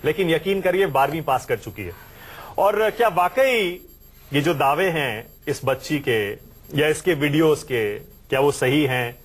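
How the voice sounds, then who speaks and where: A man anchoring a TV news show, interviewing a guest in the studio with brisk, teasing questions. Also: fairly high pitch, animated.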